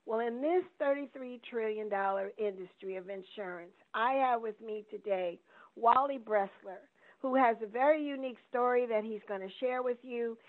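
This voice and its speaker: A woman's voice: fairly high pitch, normal speed. A woman hosting a podcast, an insurance seller who introduces her guest in an upbeat, emphatic way. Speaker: podcast host, Medicare plan seller. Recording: tinny phone-line sound.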